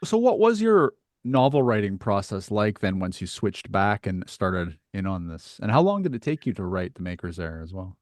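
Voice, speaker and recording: A man with a fairly low voice hosts a casual interview. His speech is conversational and informal. The sound is dry, with no room echo. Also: very animated, moderate pace, hardly any pauses, darkish tone. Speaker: podcast host.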